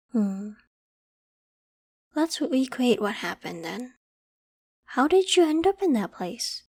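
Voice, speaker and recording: A woman voicing a polite, protective young female werewolf with a secret, in a gentle, reassuring ASMR roleplay. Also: fairly high pitch, full character voice. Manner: gentle, reassuring, hesitant when evasive. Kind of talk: ASMR roleplay, acted one-sided conversation.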